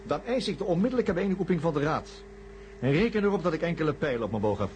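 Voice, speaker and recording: A man's voice: fairly high pitch, very animated, quick pace, darkish tone. A man playing an insistent, confrontational psychiatrist in a science-fiction radio play, speaking formally and pointedly. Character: insistent male psychiatrist demanding the hidden truth. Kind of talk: radio drama acting. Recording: noisy old radio recording with hum.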